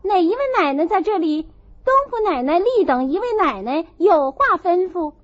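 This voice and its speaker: A woman voicing an indignant, quick-tongued young servant maid who scolds rapidly in an audiobook story. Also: extremely high pitch, lively intonation, lo-fi sound, voice pushed up. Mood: brisk, demanding.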